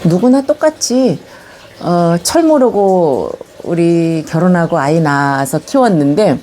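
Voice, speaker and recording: An older Korean woman with a touch of Chungcheong accent recalls her life in an interview. Her voice is mellow and soft at the edges, and her pitch rises and falls freely as she talks. She speaks in a calm, reminiscing way. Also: measured pace, slight background noise. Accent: Chungcheong-tinged Korean. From Korea, Yongin area of Gyeonggi. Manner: calm, reminiscing, gently animated when recalling childhood. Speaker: elderly interviewee recording a video autobiography. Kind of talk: reflective life-story interview.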